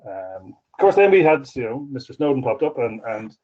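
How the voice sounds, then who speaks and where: Man, conference talk, halting with fillers, mid pitch, slightly muffled lo-fi sound. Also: big pitch swings. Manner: hesitant, many fillers and restarts. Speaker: conference speaker. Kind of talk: conference talk over video call.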